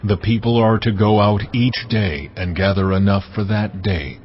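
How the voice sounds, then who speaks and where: Casting note: male actor as the Lord, solemn divine commander, low voice, dull track with faint music. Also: fairly even intonation, normal speed, close to an everyday voice.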